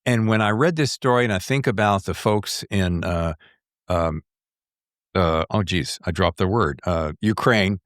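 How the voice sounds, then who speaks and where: Man, American accent, fairly low pitch, podcast interviewer, effusive, rambling delivery, very little room sound. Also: unhurried, dry recording, studio quality. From United States. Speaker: podcast host interviewing an author. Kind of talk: conversational book interview.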